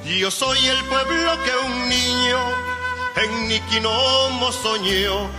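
High, slow-singing man over loud music. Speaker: singer. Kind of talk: sung folk song.

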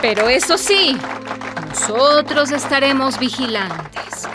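A woman playing a spirited, devout community leader, firm yet warm and emphatic, in a radio drama. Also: high-pitched, expressive, takes it slowly, over loud music. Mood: firm, dignified.

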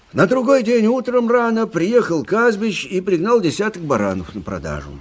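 An actor playing a plainspoken, rueful older army officer who recounts frontier tales conversationally in a radio play. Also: male voice, a little high, husky, full character voice. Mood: animated storytelling. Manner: conversational, expressive, folksy. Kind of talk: dramatic storytelling in a radio play.

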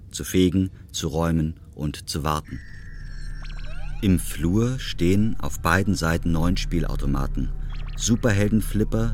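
A man's voice: deep voice, slight background noise. A man narrating scripted stage directions and scene descriptions for an audio drama.

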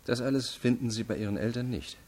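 An actor playing a stern, probing investigator in a radio crime drama, formal, measured and insistent. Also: male voice, mid-range voice, a little mellow, natural voice. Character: stern, probing adult male investigating state attorney. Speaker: interrogator.

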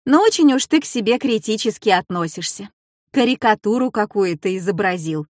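A woman voicing a composed, authoritative schoolteacher in a children's story, with measured, instructive delivery. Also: mid-range voice, lively intonation, no room sound, clean studio sound, close to an everyday voice. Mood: encouraging.

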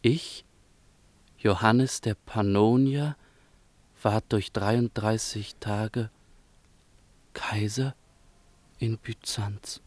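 An actor playing a deferential, solemn court chamberlain in a radio drama, speaking formally and with measured gravity. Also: male voice, lowish voice, fairly even intonation, a little mellow, no room sound. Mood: grave reading.

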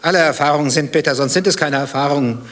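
A man's voice: a little high, fast talker, a bit bright, natural voice. Man acting brooding, bitter betrayed husband over background noise. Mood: bitter philosophising. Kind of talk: German radio play dialogue.

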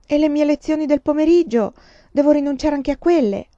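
A woman voicing an eager, dreamy young girl who pleads in a high, plaintive voice, read aloud as an audiobook character. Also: fairly quick, mellow, no room sound, lo-fi sound, lightly acted. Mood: pleading.

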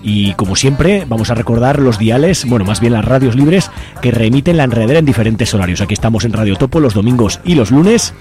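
A man's voice: medium pitch, animated, a little fast, dark tone. A man with an accent from Spain co-hosting live community radio in an informal, chatty way. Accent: Spanish from Spain. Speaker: radio co-host. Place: radio studio, live broadcast. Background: music playing behind.

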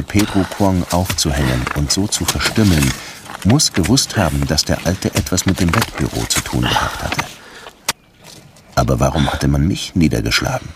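An actor playing a world-weary Cuban police detective in a radio drama, dry and brooding in dialogue and narration. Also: male voice, rumbling low, very noisy. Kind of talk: radio drama acting and first-person narration.

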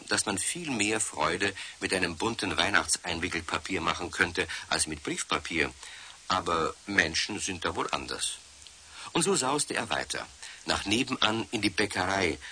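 A storyteller narrates for children in a low, lively voice. His delivery is scripted and animated, on an old radio recording with slight hiss. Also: moderate pace, exaggerated voice. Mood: storytelling.

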